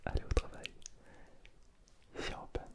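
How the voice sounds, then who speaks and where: A man whispers softly and unhurriedly into a close microphone. He plays a pretend language teacher in an ASMR roleplay. His tone stays gently playful and self-mocking. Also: takes it slowly.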